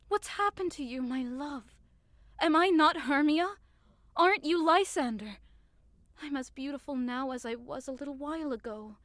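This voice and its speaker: A woman's voice: high-pitched, a bit bright, dry recording, studio quality. A woman playing a short, hot-tempered young woman hurt in love, melodramatic and indignant, in a modernized Shakespeare audio drama. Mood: anguished. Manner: melodramatic, indignant, outbursts swinging to wounded pleading.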